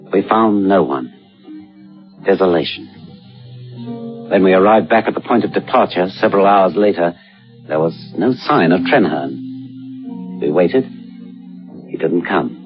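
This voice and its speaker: An actor playing a sober, uneasy British gentleman telling a sea horror tale on radio, with measured, deliberate phrasing. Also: low-pitched. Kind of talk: dramatised first-person radio narration and dialogue. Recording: dull radio recording, faint music.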